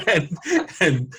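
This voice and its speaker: A man, telling personal stories in a casual interview in an animated way. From Toronto, Canada. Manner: animated storyteller, laughs often. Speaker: podcast guest, programmer.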